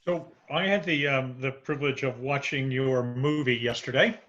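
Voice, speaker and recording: A man hosting a casual interview over a video call, asking questions in a relaxed, joking way. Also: mid-range voice, normal speed, highs cut off. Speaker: host.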